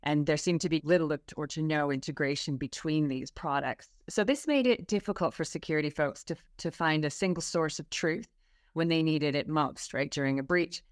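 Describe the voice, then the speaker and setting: A middle-aged woman from Canada with a Canadian accent speaks as a podcast guest, upbeat and clear in her explanations. Her voice sits a bit lower than most women's. It is recorded close and dry. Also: moderate pace, no room sound. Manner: upbeat, articulate, some fillers. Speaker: guest, security specialist. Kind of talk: conversational interview.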